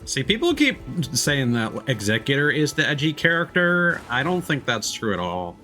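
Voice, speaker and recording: A man livestreaming a game, reading lore text aloud in a measured, dramatic way. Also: medium pitch, big pitch swings, bright, forceful tone. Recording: music behind the voice. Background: game music.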